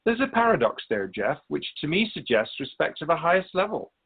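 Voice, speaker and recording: A man's voice: a little high, sing-song, vocal fry, breathy edge, exaggerated voice. Man playing a wise spirit, muffled phone-like sound. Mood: gently probing. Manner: measured, philosophical, instructive. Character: Keeper of Souls, wise otherworldly spirit speaking of humanity. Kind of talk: radio drama dialogue in interview form.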